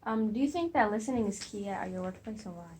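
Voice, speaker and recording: A woman's voice: mid-range voice, expressive, quick pace, a little mellow, slightly rough. A young woman, a student interviewer, reading out questions hesitantly and stumbling over her wording.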